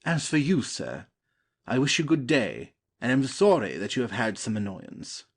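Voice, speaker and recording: A man's voice: mid-range voice, very animated, moderate pace, slightly rough. A man playing a gallant nobleman with courtly, formal manners and wounded honour in a comedy audio play.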